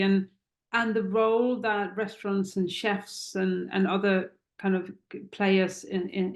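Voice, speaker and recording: A woman's voice: medium pitch, reverberant. A woman hosting a podcast interview, asking questions with some hesitation and reading a closing outro.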